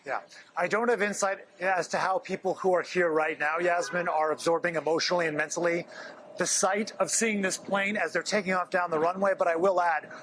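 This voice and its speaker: A man reporting live from outdoors at an airport in a steady, informative way. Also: high voice, a little fast, background noise. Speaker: field reporter.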